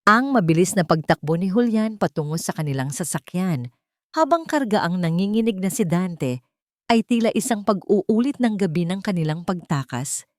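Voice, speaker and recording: A woman's voice: fairly low pitch, animated, normal speed, no room sound, studio quality. A woman reading dramatic scripted narration as an audiobook narrator.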